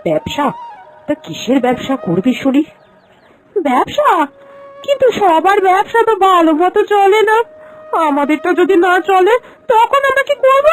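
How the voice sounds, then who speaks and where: A woman voices a caring village housewife in a cartoon moral story. Her high voice moves widely up and down, earnest and expressive, over faint background music. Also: normal speed, pauses often, rough recording. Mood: worried. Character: caring, cautious village housewife, the elder sister-in-law.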